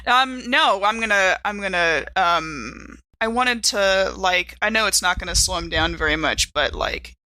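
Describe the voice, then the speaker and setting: Lively, bright-voiced woman playing a tabletop game. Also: medium pitch, unhurried, no echo, low-quality recording. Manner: expressive, wry. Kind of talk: casual, joking game table chat.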